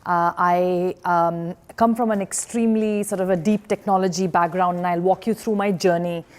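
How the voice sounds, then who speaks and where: A woman's voice: lowish voice, fairly even intonation, moderate pace, a little mellow. A woman in her forties, born in India and raised in Singapore, giving a conference talk as an engineer turned investor, speaking hesitantly with many fillers and restarts.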